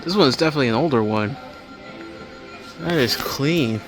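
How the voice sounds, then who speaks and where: Guy with an average pitched voice just rambling casually while filming around a hardware store, bit of music playing in back. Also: lively intonation, moderate pace. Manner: rambling, hobbyist talk. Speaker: amateur video maker. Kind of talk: casual off-the-cuff walkthrough commentary. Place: inside a large hardware store.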